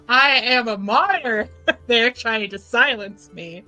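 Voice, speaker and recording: A woman's voice: a little high, expressive, takes it slowly, pushed and bright, faint music behind, full character voice, low-quality recording. A woman voicing a melodramatic, self-pitying man who plays the martyr, theatrical and wailing. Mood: mock woe.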